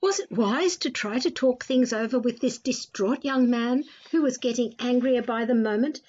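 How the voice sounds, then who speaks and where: High, husky woman presenting. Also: moderate pace, darkish tone. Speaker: radio host and story narrator. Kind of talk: scripted narration and presenting. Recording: slightly dull radio sound.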